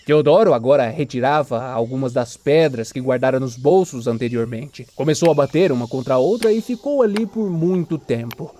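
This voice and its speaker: A man's voice: medium pitch, moderate pace, hardly any pauses, dark tone. Brazilian man narrating a dramatic story.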